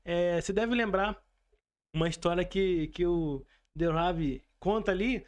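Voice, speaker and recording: A man's voice: high-pitched, unhurried. A man with a Brazilian accent hosting a podcast, telling anecdotes in a casual, conversational way. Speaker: podcast host. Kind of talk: casual chat, anecdotal storytelling.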